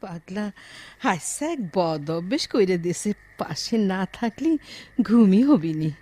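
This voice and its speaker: An actress playing an elderly, grumbling yet doting village grandmother in a Bengali radio drama, with a rural Bangladeshi accent and drawn-out, expressive speech. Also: medium pitch. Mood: restless, complaining.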